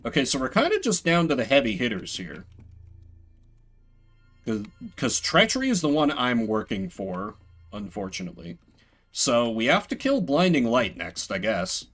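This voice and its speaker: A man's voice: mid-range voice, huge pitch swings, moderate pace, fairly bright tone, faint music behind. A man giving casual live game commentary as a streamer, rambling with playful, self-deprecating asides.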